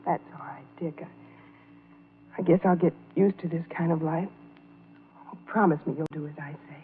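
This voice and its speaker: A woman's voice: deep voice, fairly even intonation, normal speed, slight background noise, natural voice. An anxious, unhappy wife played by an actress in a golden-age radio melodrama. She speaks with pleading urgency, as a woman trapped with a jealous husband. The recording is thin and narrow, like a telephone line. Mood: tearful pleading. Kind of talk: 1940s-50s radio melodrama acting. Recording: vintage radio, thin phone-like band.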